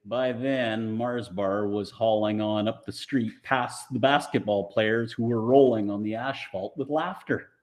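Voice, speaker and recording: A man reading a story aloud in an expressive, deliberate storytelling style, with dramatic phrasing. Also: on the low side. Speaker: audiobook reader.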